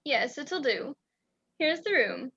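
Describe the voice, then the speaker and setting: An obliging, chatty older innkeeper, acted by a woman for a radio play. The voice is high and folksy, with a welcoming manner, on a slightly dull lo-fi recording. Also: very animated, slow pace, creaky, fairly bright tone, heavily acted. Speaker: student stage actor.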